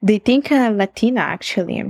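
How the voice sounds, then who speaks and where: A woman's voice: medium pitch, expressive, a little fast, gravelly, a little mellow, no echo, studio quality. A young woman with an Italian accent, reflective in a casual interview, laughing often and restarting sentences. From Italy. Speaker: guest.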